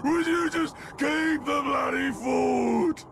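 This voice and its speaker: Frantic, drawn-out gravelly olog brute. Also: male voice, very high voice, steady tone, over a noisy crowd, heavy background noise, full character voice. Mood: panicked pleading. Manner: frantic, repetitive, blustering pleas. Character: huge broken, panicked olog brute, pleading desperately. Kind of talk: video game cutscene acting. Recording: loud music over the voice. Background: loud game music.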